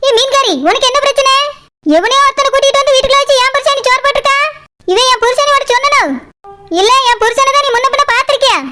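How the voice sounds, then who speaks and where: A woman's voice: very high-pitched, steady tone, fast talker, heavy background noise. A woman voicing a hot-tempered, bossy house owner in a comedy cartoon, scolding and threatening in Kanyakumari Tamil. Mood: angry, scolding.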